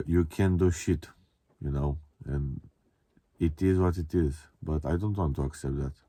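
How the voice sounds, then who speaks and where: Male vlogger, twenty, Romanian accent. Dark, warm tone, dry close sound, candid and introspective. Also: sits low, no echo. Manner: candid, reflective, swearing. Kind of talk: casual confessional monologue to camera.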